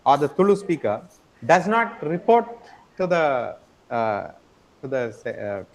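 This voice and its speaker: A man gives an online lecture in a fairly high, light voice. He explains patiently, repeats words as he searches for the next point, and keeps checking in with his students. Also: poor audio. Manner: explanatory, frequent tag question "right?". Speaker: teacher.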